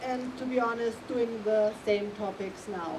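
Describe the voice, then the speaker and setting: A middle-aged woman speaking English with a German accent as a panel guest, pausing often with ums as she searches for words. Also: fairly high pitch, fairly even intonation. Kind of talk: casual panel talk. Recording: distant mic, faint room noise.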